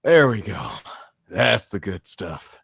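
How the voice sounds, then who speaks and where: Desperate werewolf-cursed man male actor, low-pitched, heavy fry in the voice, urgent table-read drama. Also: big pitch swings, slow pace, a bit bright, no echo, clean studio sound, exaggerated voice. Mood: grim relief. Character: desperate man fighting his transformation into werewolf. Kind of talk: screenplay table read acting.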